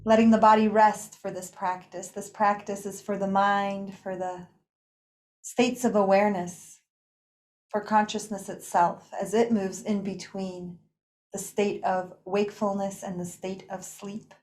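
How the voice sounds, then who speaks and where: A woman leading a guided relaxation practice in an unhurried, soothing manner, pausing often between phrases. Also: medium pitch, little pitch movement, a little mellow. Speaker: guided meditation teacher. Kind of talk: guided yoga nidra relaxation.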